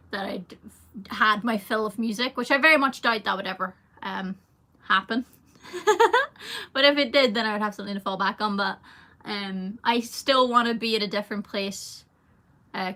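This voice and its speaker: Bright chatty young woman. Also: mid-range voice. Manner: chatty, rambling, lots of fillers, laughs often. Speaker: singer. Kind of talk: casual livestream Q&A, reading viewer comments.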